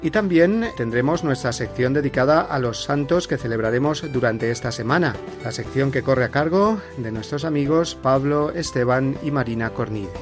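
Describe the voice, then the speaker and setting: A man with a Spain Spanish accent hosts a Sunday religious radio show at a middling pitch. He speaks in a measured, welcoming way and often pauses on "eh" fillers. Soft music plays faintly behind him. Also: animated. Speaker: radio host.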